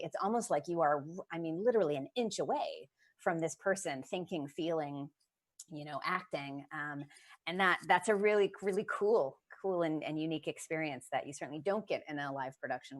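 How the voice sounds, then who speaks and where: A woman's voice: deep voice, brisk. A woman playwright speaking off the cuff on a panel, reflective and enthusiastic, leaning on key words. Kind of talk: thoughtful unscripted panel talk.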